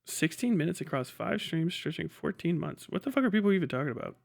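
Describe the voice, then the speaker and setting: A man with a somewhat high-pitched voice talks casually as a livestream host. He is blunt and foul-mouthed, rambling through commentary and often muttering to himself as he goes. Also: very animated, quick pace, gravelly, a little mellow. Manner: profane, blunt; rambling, muttering asides to self.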